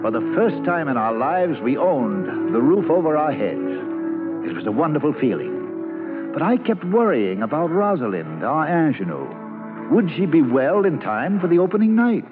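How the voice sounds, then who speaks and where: A man's voice: on the high side, big pitch swings, moderate pace. An actor playing a grown man wistfully narrating his boyhood memories in old-time radio style. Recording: muffled old radio recording, loud music behind. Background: loud music.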